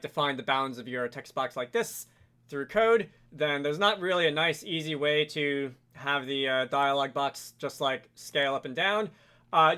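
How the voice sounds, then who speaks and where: A man narrates a hands-on programming tutorial in a fairly high, bright voice. He speaks off the cuff, hesitating and rewording as he works through each step. It has the feel of a screen-capture voice-over. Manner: hesitant, self-correcting, thinking aloud while working. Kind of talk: unscripted screen-recorded software tutorial narration.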